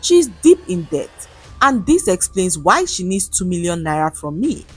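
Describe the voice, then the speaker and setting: A woman's voice: fairly low pitch, very animated, normal speed, slightly rough, faint music behind. A woman with a Nigerian accent voicing a distressed, accusing schoolgirl in a dramatic story. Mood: angry, convinced. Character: distressed, angry blackmailed schoolgirl.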